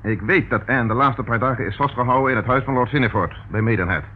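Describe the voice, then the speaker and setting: An actor playing a dogged police inspector in a radio play, sardonic, stern and deliberate in his talk. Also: mid-range voice, natural voice. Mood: stern warning. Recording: muffled, noisy old radio recording.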